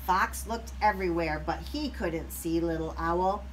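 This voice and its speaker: A woman reading a picture book aloud to young children, expressive, with explanatory asides. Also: on the low side, unhurried, a little hiss or hum, low-quality recording.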